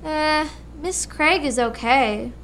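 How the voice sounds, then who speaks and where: A woman acts out a sassy, whiny American schoolchild in a radio drama. The voice is high and sharp, grumbling, with a little noise in the background. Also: takes it slowly, full character voice. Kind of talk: radio play acting.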